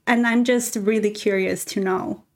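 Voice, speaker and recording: A woman hosting a podcast who asks curious, gentle questions. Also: mid-range voice, steady tone, moderate pace. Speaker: podcast host. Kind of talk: interviewing in a podcast conversation.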